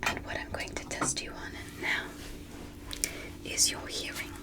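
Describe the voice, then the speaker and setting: A woman voicing a gentle, curious alien doctor in a sci-fi ASMR medical roleplay, whispering in a soothing, polite and encouraging way. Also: measured pace, natural voice. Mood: calm, attentive.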